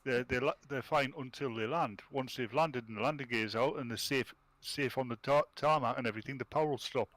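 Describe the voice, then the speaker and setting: A man's voice: mid-range voice, fairly quick, hardly any pauses, a bit bright, no echo. A man bantering casually as a talk show panelist, playful and mock-serious in his delivery.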